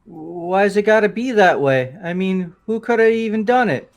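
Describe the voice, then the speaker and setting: A man voicing an excitable, easily confused young guy in an amateur game dub, slangy and incredulous. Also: high-pitched, moderate pace. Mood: bewildered. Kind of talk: amateur voice acting of a video game.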